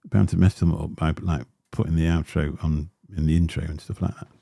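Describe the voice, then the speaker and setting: A man's voice: little pitch movement, brisk, creaky, mellow, dry recording, studio quality. A man, hosting a casual livestream and rambling through chatty asides with lots of fillers. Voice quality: deep voice. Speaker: livestream host. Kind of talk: casual livestream chat while unboxing gear. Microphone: dynamic studio mic close up.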